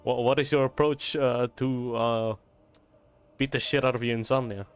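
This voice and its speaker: A man's voice: mid-range voice, fairly even intonation, moderate pace, clear, resonant tone, soft background music. A young man who streams games, reacting casually in slangy, sweary talk with stammers. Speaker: game streamer. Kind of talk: casual joking live reaction.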